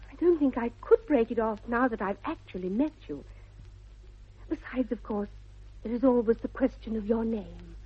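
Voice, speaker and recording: A woman's voice: fairly high pitch, moderate pace, breathy edge. An actress with a British accent playing a dreamy, headstrong young Victorian lady in a 1960s radio comedy, with precise, affected, earnest delivery. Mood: fond, dreamy. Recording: dull vintage 1960s radio recording.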